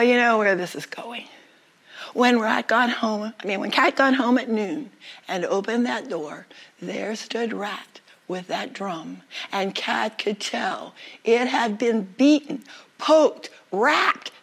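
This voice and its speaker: Unhurried, husky woman storyteller. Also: on the high side. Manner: dramatic, deliberate phrasing with pauses. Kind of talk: live oral folktale storytelling.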